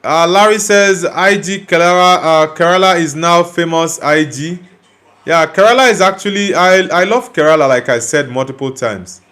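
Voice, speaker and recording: A man's voice: a little high, normal speed, fairly bright tone. A young man from Nigeria hosting a live stream, casually reading viewer comments aloud and answering them bluntly. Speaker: livestream host reading chat.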